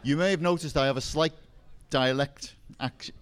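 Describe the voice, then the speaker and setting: A man's voice: on the high side, normal speed, clear, resonant tone. A man with a Liverpool accent giving a seminar talk on faith to a live audience, with self-deprecating jokes and asides. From England. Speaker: seminar speaker.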